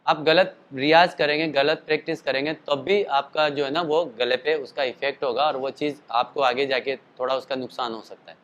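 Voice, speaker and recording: A man's voice: medium pitch, fairly even intonation, normal speed, few pauses, fairly bright tone, highs cut off. A man teaching singing and voice use in a casual spoken lesson, explaining at length, with a throat tired from a show the night before. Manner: explanatory, chatty, demonstrates calls and sung notes.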